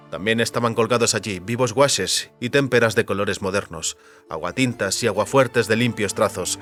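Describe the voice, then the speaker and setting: A man reading scripted literary narration for an audiobook with measured, deliberate phrasing. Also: on the low side, a bit bright. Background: faint music.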